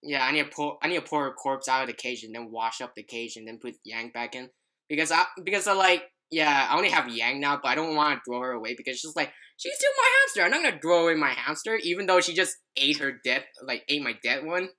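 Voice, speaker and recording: Young woman podcaster, low bright voice, rambly casual storytelling, trips over words. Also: sing-song, fast. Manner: rambling, filler-heavy, stumbling over words. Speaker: podcast co-host telling a personal story.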